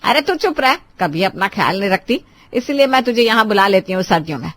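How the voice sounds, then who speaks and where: Nagging mother-in-law, middle-aged, very animated, overbearing, theatrical, close dry sound. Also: medium pitch, fairly quick, dry recording. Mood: scolding. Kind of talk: dramatized family story acting.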